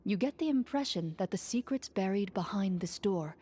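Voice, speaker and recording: A woman voicing a game master who narrates a role-play in a measured, formal way in a video game. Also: mid-range voice, animated, dark tone, husky.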